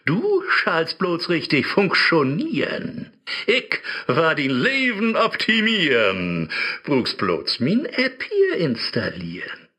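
Radio play: a sneering devil in an app, high-pitched, slow and swooping. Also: male voice, wildly swooping pitch, pushed and bright, breathy edge, heavily acted. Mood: scheming. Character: sly scheming male devil living in a phone app. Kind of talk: rhymed radio play acting.

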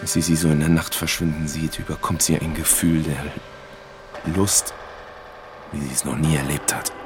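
A man's voice: very deep voice, fairly even intonation, normal speed, over music. An actor playing a young man who bluntly retells a brutal story about his girlfriend, in coarse words. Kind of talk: radio drama monologue.

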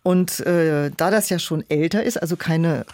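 A woman's voice: lowish voice, normal speed, a little mellow, dry recording. A woman speaking as an interview guest, explaining things in a considered way about her work as a producer. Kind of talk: interview conversation.

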